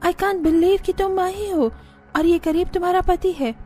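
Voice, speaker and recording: Woman playing a high, drawling, snobbish rich girl. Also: steady tone, measured pace, darkish tone, soft background music. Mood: mocking. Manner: drawling, mixes English phrases. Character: snobbish rich young woman, mocking and flashy.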